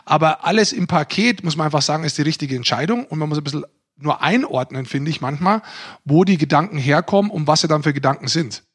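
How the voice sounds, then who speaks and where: A man's voice: on the high side, quick pace, slightly rough. A man co-hosting a podcast, giving opinions colloquially and repeating phrases to make points. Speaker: podcast co-host. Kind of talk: casual opinionated discussion.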